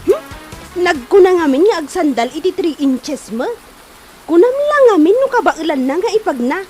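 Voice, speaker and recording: A woman's voice: very high voice, sing-song, moderate pace, a little mellow, faint music behind. A woman acting an exasperated, chiding woman of the household in an Ilocano comedy drama, nagging with an Ilocano accent.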